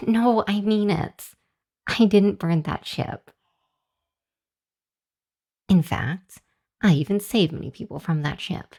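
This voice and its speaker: A woman voicing a shy, earnest young hellhound demon girl in an ASMR roleplay, speaking to the listener in a hesitant, flustered, halting way. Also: mid-range voice, gravelly, a little mellow. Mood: earnest, worried. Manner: hesitant, flustered, halting with sighs and nervous chuckles.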